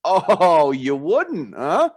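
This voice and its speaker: An actor playing a protective, teasing older brother in a radio play, speaking in an emphatic, insistent way. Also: male voice, fairly high pitch, huge pitch swings, drawn-out words, full character voice. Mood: playful. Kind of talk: radio drama acting.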